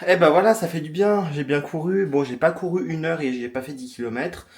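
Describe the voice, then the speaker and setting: A man's voice: fairly high pitch, a little fast, over loud music. A young man talking casually to camera in a vlog, rambling with lots of fillers and restarts. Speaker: vlogger.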